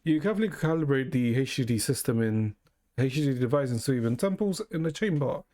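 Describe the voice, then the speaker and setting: A man's voice: mid-range voice, lively intonation, a little fast, dark tone. A man streaming a game, reading its dialogue and narration aloud and adding casual commentary. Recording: game music faintly behind.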